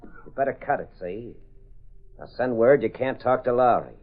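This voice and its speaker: A man's voice: medium pitch, animated, normal speed, close to an everyday voice. Casting: man playing slangy American mobster, menacing tough-guy delivery, vintage radio-play style, narrow muffled sound. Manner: threatening, slangy, tough gangster talk. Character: menacing male racketeer ex-convict selling circus protection insurance. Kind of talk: 1930s radio drama acting. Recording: muffled, narrow-band old radio recording.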